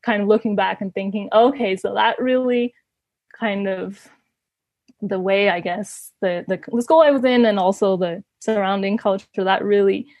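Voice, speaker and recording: A woman's voice: medium pitch, normal speed, a little mellow, no room sound. A woman telling personal stories casually, in the manner of an interview guest, often laughing as she talks. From born in Canada, grew up in Ecuador. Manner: giggly, laughs often while talking.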